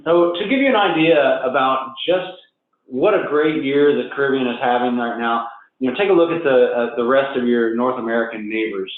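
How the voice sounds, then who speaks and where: A man's voice: medium pitch, normal speed. Echoey phone-line man with a cold. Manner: upbeat, conversational, frequent fillers and restarts. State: has a cold, slightly hoarse and nasal. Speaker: webinar presenter. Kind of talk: business webinar presentation. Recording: tinny phone-line audio with heavy echo.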